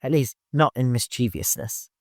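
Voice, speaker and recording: A man's voice: medium pitch, normal speed, no echo, studio quality. A man reading a story aloud as scripted audiobook narration.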